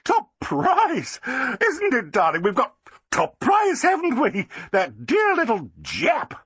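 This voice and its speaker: Guy doing a shrill gushy society wife, way up high, breathy. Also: wildly swooping pitch, slow, a bit bright, dry recording, exaggerated voice. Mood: gushing.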